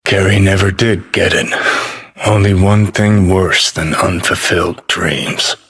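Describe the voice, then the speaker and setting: An American man playing a cynical, foul-mouthed, world-weary rebel rock star in a video game, with a gravelly, husky voice and a clipped, sardonic, swaggering drawl. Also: low-pitched, steady tone, measured pace, fairly bright tone, heavily acted. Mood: jaded, musing. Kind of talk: video game character dialogue.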